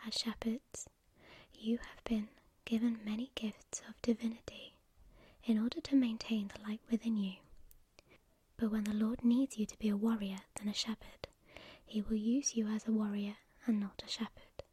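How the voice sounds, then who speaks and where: Solemn male angel messenger, as a woman whispers him, calm and commanding in a breathy, husky hush that sits a little high. He speaks in measured, preaching tones, mellow and soft-edged, right up close in a dry space with no room sound. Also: no echo. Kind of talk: whispered storytelling.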